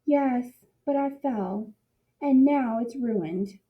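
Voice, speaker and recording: An earnest, curious little girl from a storybook, as a woman reads her. The voice is high and childlike. The sound is slightly muffled. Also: drawn-out words. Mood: shy, earnest.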